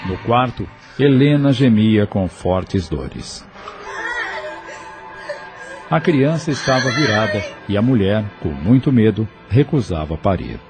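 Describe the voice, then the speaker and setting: Male Brazilian narrator, lowish voice, lively intonation, scripted storytelling over faint music. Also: takes it slowly. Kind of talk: scripted audio novel narration.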